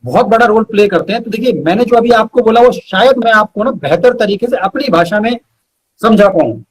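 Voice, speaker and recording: A man speaking as a guest poet in a live interview, reciting verse and explaining it, emphatic and repeating lines deliberately. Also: sits high, breathy edge, low-quality recording.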